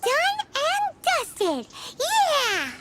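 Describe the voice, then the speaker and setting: Very squeaky little fairy sidekick. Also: female voice, wildly swooping pitch, drawn-out words, very noisy, exaggerated voice. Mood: excited, chirpy. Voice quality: squeaky, childlike. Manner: chirpy, refers to self in third person. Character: tiny excitable fairy companion, childlike, greedy for snacks. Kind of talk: anime game voice acting.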